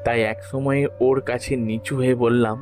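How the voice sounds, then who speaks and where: A man's voice: medium pitch, little pitch movement, moderate pace, a little mellow. Man narrating a story over music. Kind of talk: dramatic first-person literary narration. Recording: music behind the voice.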